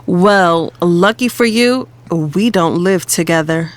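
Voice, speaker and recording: A woman's voice: fairly low pitch, slow. A woman playing a torn, sassy lover in an audio drama, yearning for more from her secret affair. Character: torn, sassy cheating lover wanting commitment.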